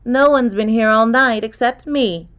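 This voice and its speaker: A woman voicing a precocious young girl in an audiobook, calm and clear, with a cynical, worldly edge. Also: fairly high pitch, measured pace, voice pushed up. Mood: coolly sarcastic. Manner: clear, calm, worldly beyond her years. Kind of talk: acted audiobook dialogue.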